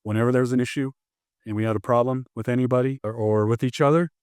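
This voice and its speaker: A man's voice: medium pitch, fairly even intonation, fast talker, darkish tone, clear, resonant tone, dry recording, clean studio sound. A man in his fifties, born in Tonga and raised in Los Angeles, telling stories as a martial arts instructor in a casual interview, rambling with many restarts and fillers.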